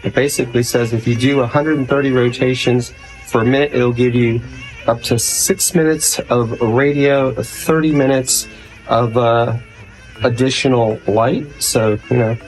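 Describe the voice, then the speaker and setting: A man's voice: medium pitch, fairly even intonation, normal speed, faint music behind, rough recording. Casual man reviewing a product. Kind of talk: casual product demonstration vlog.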